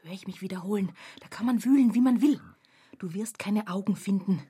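A woman's voice: medium pitch, a little fast, mellow, slightly rough, no room sound. An actress playing an insistent, uneasy female onlooker in a literary radio play, speaking with emphatic intensity. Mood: eerie, insistent. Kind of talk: literary radio play, scripted three-way conversation.

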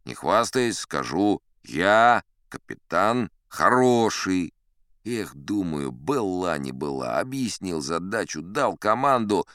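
An actor playing a boastful old sea captain, spinning tall tales in a self-satisfied, chatty storybook narration. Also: male voice, low voice, sing-song, slow, a bit bright, clean tone, dry recording, studio quality, full character voice. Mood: animated boasting. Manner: self-satisfied, conversational raconteur. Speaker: audiobook narrator in character. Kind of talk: theatrical first-person storybook narration.

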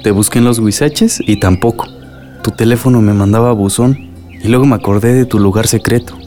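An actor playing a devoted, reassuring young village suitor in a radio drama, tender and attentive. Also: male voice, medium pitch, a little fast, dark tone, soft background music, lightly acted. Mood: tender, concerned.

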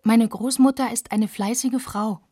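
A woman's voice: on the high side, fairly even intonation, unhurried, warm tone, slightly rough, dry recording, studio quality. A woman reading scripted first-person literary narration in short, simple sentences. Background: music.

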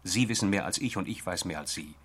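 Husky, insistent male agency chief. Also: sits low, a bit bright. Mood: urgent, pragmatic. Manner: insistent, halting. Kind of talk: German radio play dialogue.

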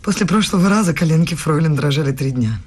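An actress playing a clever young student in a radio play, answering with coy, formal teasing and quiet boldness. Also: female voice, low-pitched, animated, quick pace, breathy edge. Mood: composed, knowing. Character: young female student, clever, coy, bold in secret love. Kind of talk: intimate radio play acting.

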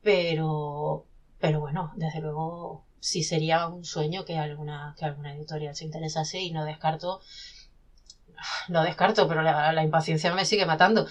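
Chatty novelist woman, lowish voice. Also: moderate pace. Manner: animated, talkative, many restarts and laughs. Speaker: self-published novelist guest. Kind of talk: casual podcast conversation.

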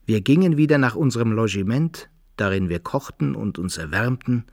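An actor playing an adventurer who recounts his rough exploits in an articulate storytelling manner, in archaic German for a radio play. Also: on the low side, animated, moderate pace, heavy background noise. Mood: narrating, grim.

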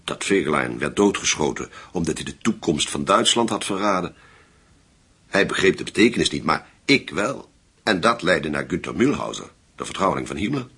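An actor playing a grave German investigator in a language radio drama, with a gravelly, husky voice. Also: male voice, low-pitched, very animated, normal speed, pushed and bright, heavily acted. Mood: serious, intent. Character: grave German man investigating a wartime secret.

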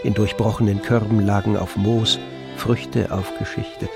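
A man narrating a literary radio drama from a script in a measured storytelling manner. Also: on the low side, little pitch movement, vocal fry. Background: music with violin.

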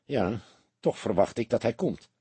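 A man voicing a calm, weary, shrewd middle-aged police detective in an audiobook, quiet and measured. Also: fairly low pitch, breathy edge, no room sound, heavily acted. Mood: wry, confident. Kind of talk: audiobook character dialogue.

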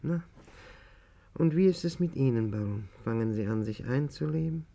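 An actor playing a weary, wise older exile, measured and gently resigned, in a 1950s German radio play. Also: male voice, mid-range voice, lively intonation, vocal fry. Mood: gently probing.

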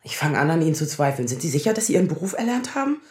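A woman playing a sharp-tongued, anxious lawyer in her thirties in a naturalistic radio drama, sarcastic and defensive. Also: deep voice, big pitch swings, very fast, echoey room. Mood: anxious, combative. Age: 30s.

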